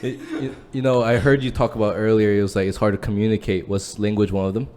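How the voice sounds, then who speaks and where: A man co-hosting a casual podcast, quick with jokes and banter. He talks fast, and his voice sits on the low side. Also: flat delivery, slight background noise. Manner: joking, bursts into loud laughter. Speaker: podcast co-host. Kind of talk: casual chat.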